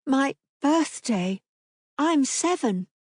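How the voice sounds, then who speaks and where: A woman's voice: high voice, lively intonation, drawn-out words, no echo, clean studio sound, pitched above the performer's own voice, exaggerated voice. A woman voicing a timid, eager seven-year-old girl who speaks in a small, pleading way.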